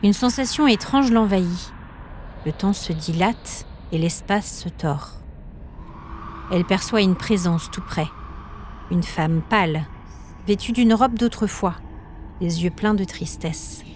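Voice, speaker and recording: A woman narrating a scripted story podcast in a measured, suspenseful, dramatic way, with short weighted phrases. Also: medium pitch, a little mellow, a little hiss or hum. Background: music.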